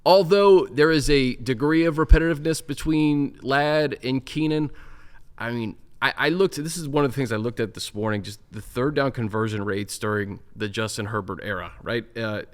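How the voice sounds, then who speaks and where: A man's voice: medium pitch, normal speed, clean tone. A man with a middle-range voice hosts a sports podcast. He steers the talk from topic to topic in a conversational way and rattles off stats as he goes. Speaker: podcast host.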